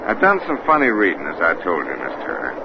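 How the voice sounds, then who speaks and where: Deep, folksy radio-drama investigator. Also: male voice, huge pitch swings, quick pace. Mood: grave, steady. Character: steady, laconic investigator and champion pistol shot, awkwardly lovestruck. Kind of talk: 1930s radio horror acting. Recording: noisy, muffled old radio recording.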